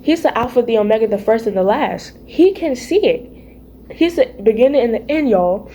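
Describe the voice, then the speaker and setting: A raspy-voiced teenage girl talking casually about her faith at home, chatty and playful, calling her viewers y'all and baby. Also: fairly high pitch, expressive, normal speed, dark tone, slight background noise. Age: teens. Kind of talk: casual faith vlog chat. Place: home, early morning.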